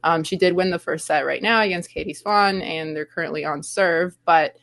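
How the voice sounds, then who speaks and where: Woman from Romania, slightly low voice, chatty, loose hesitant phrasing, casual sports show co-host. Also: moderate pace, creaky, a bit bright, dry recording.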